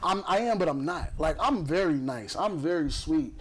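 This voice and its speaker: A man's voice: a little high, expressive, moderate pace, husky, rough recording. A young man from the south side of Chicago with a Chicago accent, hosting a podcast in loose, profane, boastful casual chat full of fillers. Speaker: podcast host.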